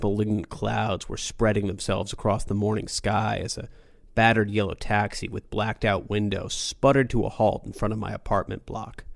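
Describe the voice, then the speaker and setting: A man's voice: on the low side, gravelly, no room sound, studio quality. A man reading a first-person noir story as an audiobook narrator, in a gritty, dramatic manner.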